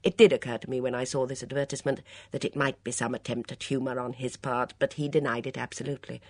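A woman voicing a composed, brisk older lady of the house in an audiobook, firm and matter-of-fact. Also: rumbling low, level tone, dry recording. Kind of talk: audiobook character dialogue.

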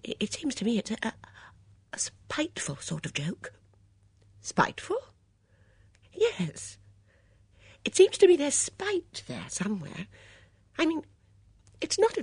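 A woman voicing a fussy, anxious elderly spinster who stammers and frets in a high, plaintive voice. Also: huge pitch swings, slow, pauses often, darkish tone, husky, no room sound, full character voice. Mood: fretful.

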